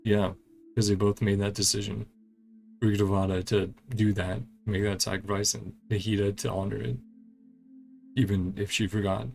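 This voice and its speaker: A man's voice: on the low side, little pitch movement, moderate pace, vocal fry, darkish tone, faint music behind. A man doing casual playthrough commentary on a video game, speaking in clipped fragments and murmured reactions. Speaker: gaming channel host.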